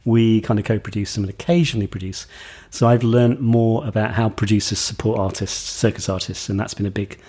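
A man's voice: lowish voice, fairly even intonation, a little fast, dark tone, slightly rough. A man giving casual answers in an interview about his work. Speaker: circus venue representative, interviewee.

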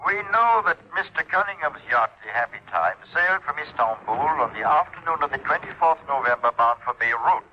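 An actor playing a polite, apologetic Turkish chief inspector in a 1950s radio drama, speaking formally as if over a radio phone call. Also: male voice, a little high, expressive, a little fast, few pauses. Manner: formal, courteous, official. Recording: muffled noisy 1950s radio recording.

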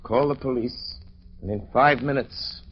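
An American man playing a resolute gentleman crime-fighter in an old radio drama, speaking with urgent authority. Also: mid-range voice, a little slow, breathy edge. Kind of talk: 1940s radio drama acting. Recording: muffled, hissy old radio recording.

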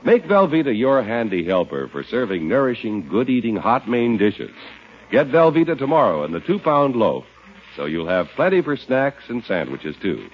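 An American man announcing on 1950s radio, delivering scripted sponsor pitches and story introductions. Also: wildly swooping pitch, normal speed, husky. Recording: muffled old radio recording with music.

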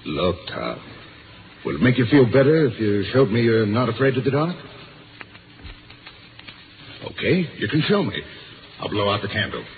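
An actor playing a sly middle-aged office rival in a 1950s radio drama, needling someone with smooth false friendliness. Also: male voice, on the low side, sing-song, a little fast, a little hiss or hum. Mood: sly, pressing. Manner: smooth feigned friendliness, taunting insinuation. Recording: tinny old radio broadcast with music.

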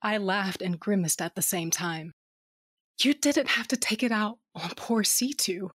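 A woman narrating an audio drama in first person as a tough, wry smuggler, soft when tender. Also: mid-range voice, expressive, a little slow, breathy edge, no room sound, clean studio sound.

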